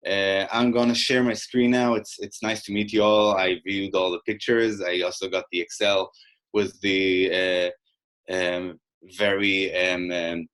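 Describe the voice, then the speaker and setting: A man giving a conversational webinar presentation over a video call, with many fillers and pauses as he shares his slides. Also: sits low, flat delivery, fairly bright tone, low-quality recording. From Australia, half. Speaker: webinar speaker.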